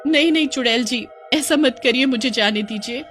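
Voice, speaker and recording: A woman voicing a bossy, teasing village wife in a cartoon story, sharp-tongued and exclamatory. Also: high-pitched, moderate pace, faint music behind, voice pushed up. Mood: frightened.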